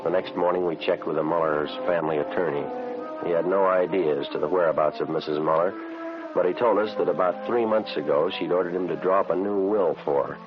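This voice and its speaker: An actor playing a clipped, matter-of-fact police detective who narrates a vintage radio drama. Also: male voice, low-pitched, a little fast, lightly acted. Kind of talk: 1950s radio drama acting. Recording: dull vintage radio recording with music.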